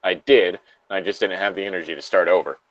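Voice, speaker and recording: A man's voice: deep voice, fairly even intonation, quick pace, dull audio. A man reading a horror story aloud as co-host, casually, with joking asides and the odd stumble. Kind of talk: casual co-reading of creepypasta with banter.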